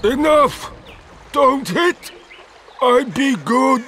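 Video game troll: drunk, simple-minded, very slow delivery, broken phrasing, faint noise behind. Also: male voice, extremely high pitch, lively intonation, exaggerated voice. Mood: frightened, pleading. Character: drunken, grieving male troll guarding a bridge. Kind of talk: video game character acting.

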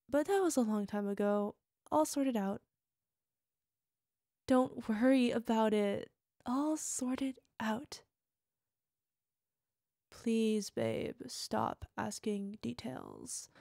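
A volatile, bitter young girlfriend, as an actress plays her, with a high voice that has gravelly fry running through it. She speaks slowly, drops to hushed whispers and flares into swearing, and the sound is close and dry. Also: no echo. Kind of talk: audio roleplay monologue.

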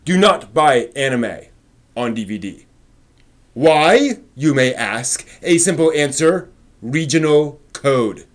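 A middle-aged man performs a dramatic reading in a notably high voice. He goes slowly, stretching and stressing words with overblown, impassioned theatricality. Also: animated. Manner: impassioned, overwrought, emphatic delivery with drawn-out words. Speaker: host reading an old forum post aloud. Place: small home room with shelves, indoors.

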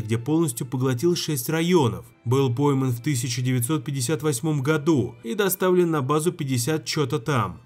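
A man's voice: mid-range voice, a little mellow. A man narrating a scripted explainer with playful, wry phrasing. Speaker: video narrator. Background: background music.

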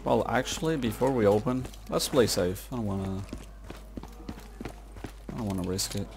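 A man's voice: on the low side, normal speed, gravelly, a little mellow, a little hiss or hum. A man giving casual gameplay commentary, muttering to himself as he plays. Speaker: gaming video creator. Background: video game music and sounds.

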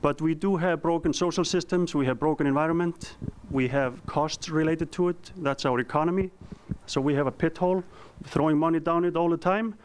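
A man in his thirties from Iceland with an Icelandic accent, giving a conference presentation with a handheld mic, earnest and halting with frequent ums. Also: on the high side, slight background noise. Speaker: company founder giving a presentation. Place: conference room.

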